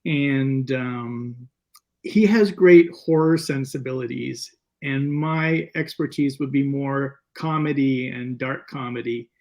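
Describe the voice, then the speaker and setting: A man speaking as a filmmaker guest, telling stories casually in a meandering, halting way with repeated words. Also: medium pitch, darkish tone. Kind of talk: casual interview storytelling.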